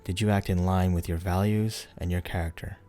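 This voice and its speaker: Deep, mellow man guiding a meditation. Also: fairly even intonation. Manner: soothing, unhurried, instructional with pauses. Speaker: guided meditation leader. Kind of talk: guided meditation narration. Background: background music.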